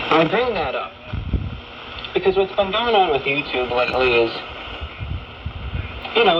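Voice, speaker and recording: A man's voice: on the high side, muffled recording. Man hosting a radio show over static. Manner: earnest, steady delivery with frequent "uh" fillers, rising to emphatic stresses. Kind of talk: radio talk show monologue. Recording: broadcast heard through a shortwave receiver with static.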